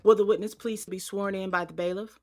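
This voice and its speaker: A woman's voice: mid-range voice, steady tone, fairly quick, a little mellow, lightly acted. A woman playing a stern, authoritative trial judge in an audio drama, speaking formally and admonishing. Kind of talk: audio drama acting, courtroom rulings.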